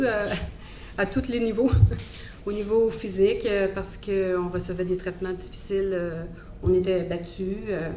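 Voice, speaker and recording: A woman's voice: mid-range voice, a little mellow. A woman from Montreal with a Quebec French accent, giving a personal faith testimony in a hesitant, reflective way with many fillers. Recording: echoey hall, faint background noise.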